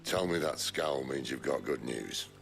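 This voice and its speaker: Extremely low and gravelly, this middle-aged fantasy game outlaw leader sounds gruff and world-weary. He talks in a dry, wry, colloquial way, with faint music behind him. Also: lively intonation, a little slow, exaggerated voice. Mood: wry, pondering. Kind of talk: video game cutscene acting.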